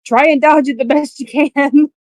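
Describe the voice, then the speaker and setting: Higher-voiced woman playing a tabletop game. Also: fairly even intonation, normal speed, a little mellow, no room sound. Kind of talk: casual game chat.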